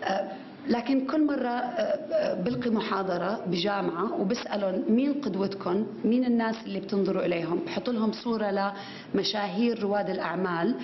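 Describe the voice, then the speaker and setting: A woman's voice: medium pitch, fast, echoey room, lo-fi sound, a little hiss or hum. A woman gives a conference talk from a podium microphone. She gestures as she speaks and uses many fillers and restarts. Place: conference hall with stage.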